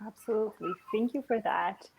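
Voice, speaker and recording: A woman's voice: medium pitch, brisk, a little mellow, low-quality recording. A woman moderating an online panel, affirming and encouraging the speakers, on a video call.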